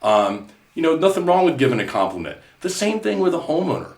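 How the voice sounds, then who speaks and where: A man's voice: on the high side, big pitch swings, brisk, roomy sound. A male podcast host in casual coaching chat, earnest and repetitive, often saying "you know". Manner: earnest, repeats himself, many "you know"s.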